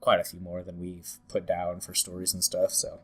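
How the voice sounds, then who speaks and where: Young guy with a cold hosting a podcast, kinda nasal and scratchy, lowish voice, rambly. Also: completely flat delivery, normal speed, fairly bright tone. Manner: rambling, many fillers and restarts. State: congested from a cold. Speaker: podcast host. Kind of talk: casual podcast chat.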